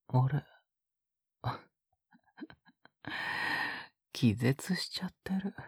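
An actor in an intimate Japanese audio drama, playing a possessive, obsessively doting young male lover who murmurs tenderly and clingily in a breathy, often whispered voice. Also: mid-range voice, fairly bright tone, no echo, studio quality, full character voice. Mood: hushed contentment.

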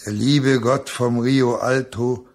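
An actor playing the solemn narrator of a radio drama, gravelly and husky, chanting poetic lines. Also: male voice, mid-range voice, fairly even intonation, takes it slowly, a little mellow. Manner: solemn, incantatory. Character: solemn narrator of a jungle tale.

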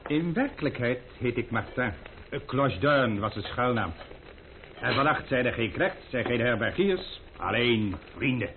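An actor playing a wise, teasing, food-loving former servant and friend in a radio drama, measured and gently ironic. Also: male voice, medium pitch, expressive. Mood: friendly. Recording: noisy, narrow-band old radio recording.

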